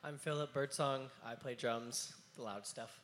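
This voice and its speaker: A man in his twenties, a drummer, who chats casually and tosses in quick jokes. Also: medium pitch, fairly even intonation, a bit bright. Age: 20s. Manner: joking, quick quips. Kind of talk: casual panel talk.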